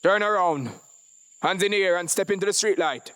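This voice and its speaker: A man voicing a gruff, commanding police officer in a story reading, barking orders with mocking suspicion. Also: high voice, normal speed, a bit bright, pitched above the performer's own voice. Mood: stern, commanding.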